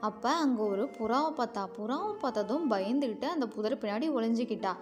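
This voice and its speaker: A woman's voice: a little high, brisk, over music. A woman storyteller giving scripted narration for children in simple, explanatory phrasing.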